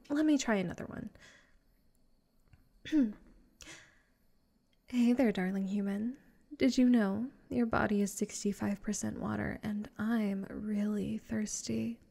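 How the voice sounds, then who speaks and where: A woman's voice: mid-range voice, a little mellow. A woman playing a possessive, lovesick vampire in a comedic roleplay audio drama, sarcastic and theatrical. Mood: flustered, exasperated, then seductive. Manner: sarcastic and theatrical, exasperated sighs, breathy flirting.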